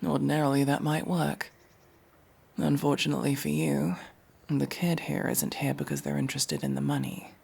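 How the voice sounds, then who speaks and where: A man playing a cold, sardonic masked elf mercenary in a fantasy audio roleplay, menacing and dryly sarcastic, with a gravelly, husky voice. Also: a little high, level tone, darkish tone, full character voice. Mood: cold, probing. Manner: slow, menacing, dry sarcasm.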